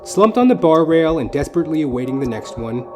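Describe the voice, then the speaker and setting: A man narrates a comic audio play in a theatrical, mock-literary manner. His voice sits a little high and swings widely in pitch. Music plays clearly behind him. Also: big pitch swings, fast. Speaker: comedian and storyteller.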